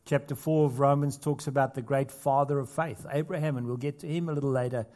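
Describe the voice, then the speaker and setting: Guy preaching a sermon, earnest, gets loud on the big points, chatty asides. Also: mid-range voice, fairly even intonation, fast talker. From not Australia. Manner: earnest preaching with rising emphasis and casual asides. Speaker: pastor.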